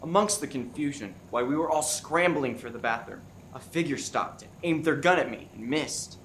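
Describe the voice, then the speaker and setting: A man's voice: medium pitch, normal speed, fairly bright tone, a little hiss or hum, natural voice. Man playing an earnest, cornered undercover agent. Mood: earnest, relieved. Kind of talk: comedic radio play acting.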